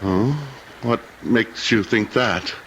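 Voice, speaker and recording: An actor playing a tense, stubborn husband and master of the house in old-time radio drama style, with an edgy manner. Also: male voice, lowish voice, slow pace, fairly bright tone, lo-fi sound, slight background noise. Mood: uneasy, placating. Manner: edgy, curt and commanding.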